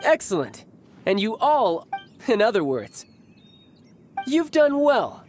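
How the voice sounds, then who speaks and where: A man's voice: high-pitched, sing-song, takes it slowly, a little mellow, soft background music, full character voice. An actor playing a young male military commander and doting older brother in a video game, with a polished, theatrical delivery. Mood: brisk, authoritative.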